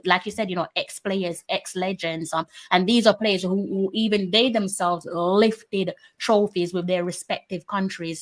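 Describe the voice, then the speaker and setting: A woman at an ordinary middle pitch, chatting freely on a football live stream. She talks on at length and reads viewer comments aloud. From Jamaica. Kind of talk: casual chat on a live stream.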